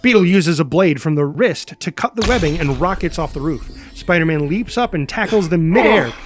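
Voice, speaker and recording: A man reading stage directions as dramatic, energetic narration for a superhero screenplay, punching out the action. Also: on the high side, moderate pace. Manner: energetic, punchy sound-effect words.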